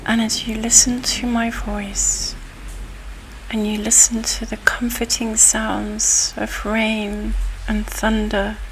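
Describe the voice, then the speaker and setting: A woman leads a guided meditation very slowly, leaving long gaps between short phrases. Her voice is hushed and soothing, staying on a narrow, even pitch. Steady rain and thunder run clearly behind her. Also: noisy background. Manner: soothing, hushed and breathy delivery with long pauses. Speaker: hypnotherapist guiding a meditation. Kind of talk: guided relaxation hypnosis, ASMR-like. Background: rain and thunder soundscape.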